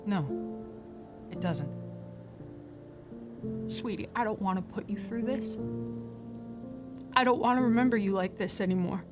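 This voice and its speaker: A woman's voice: mid-range voice, a little slow, long pauses, music in the background, lightly acted. A woman playing a loving, regretful mother in a family audio drama, heartfelt and emotional. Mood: sorrowful.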